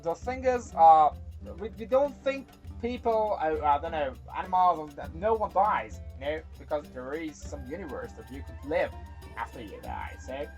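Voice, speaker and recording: Animated woman storyteller. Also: low voice, moderate pace, faint music behind. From Iran. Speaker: storyteller and host. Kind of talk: storytelling for children with casual asides.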